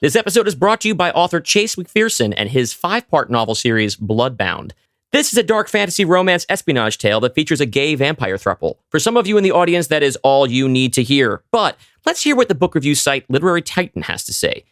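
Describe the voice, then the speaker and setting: A man's voice: fairly high pitch, sing-song, brisk, fairly bright tone, no room sound, studio quality. A man reading sponsor messages as a podcast host. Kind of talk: host-read ad.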